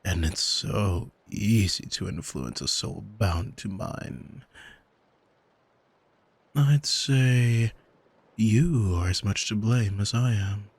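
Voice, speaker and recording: A man playing an arrogant demon in an ASMR audio drama, smug and teasing, speaking deliberately and intimately to the listener with a husky voice, close on the microphone. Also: lowish voice, lively intonation, exaggerated voice. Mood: taunting. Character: arrogant male demon who owns a soul, smug, taunting. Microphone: close studio microphone, dry.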